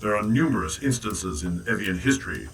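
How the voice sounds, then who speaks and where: A man playing a formal, factual mechanical man, speaking in measured expository phrases in a fantasy audio drama. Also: on the low side, animated, a bit bright, far from the mic, a little hiss or hum. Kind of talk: full-cast fantasy audio drama acting.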